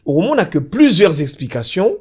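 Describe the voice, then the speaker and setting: A man's voice: high voice, very animated, brisk, darkish tone, husky. A Congolese man with a Congolese French accent, a talk show guest explaining a law emphatically and mixing in Lingala. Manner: mixes French and Lingala, emphatic, repeats fillers when searching for words. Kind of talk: political talk show discussion.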